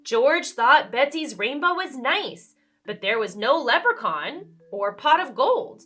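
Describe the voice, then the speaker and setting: A woman's voice: high voice, very animated, takes it slowly, a bit bright, slightly rough, soft background music. A woman storyteller reading a children's book aloud expressively, with dramatic emphasis and questions to the listener.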